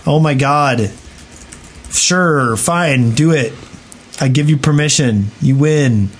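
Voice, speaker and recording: A man's voice: fairly high pitch, slow pace, slight background noise. A man co-hosting a podcast in casual banter. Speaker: podcast co-host.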